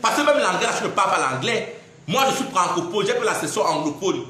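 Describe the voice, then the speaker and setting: A young man with a Cameroonian French accent rants to his viewers, indignant and mocking, laughing between jabs. His voice sits high and sounds bright and sharp. The sound bounces around a very echoey room. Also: very animated, fast talker, slight background noise. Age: 20s. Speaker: social media personality. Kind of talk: ranting live video monologue.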